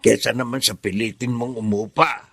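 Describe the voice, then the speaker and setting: A man's voice: medium pitch, fairly even intonation, moderate pace, vocal fry, a bit bright, husky, no room sound. A man acting a kindly older neighbour who gives advice in a radio drama. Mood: kindly advising.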